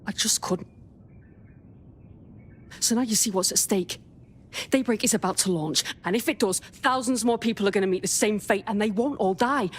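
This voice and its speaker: A woman playing an earnest, plucky ally in a game, with a British accent, breathy voice and informal manner. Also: medium pitch, close to an everyday voice. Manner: informal, slangy.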